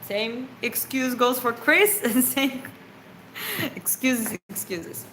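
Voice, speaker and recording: A woman's voice: fairly high pitch, slow pace, a bit bright, echoey room. Female stream co-host, chatty and explanatory, faint hiss. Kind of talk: casual Q&A chat.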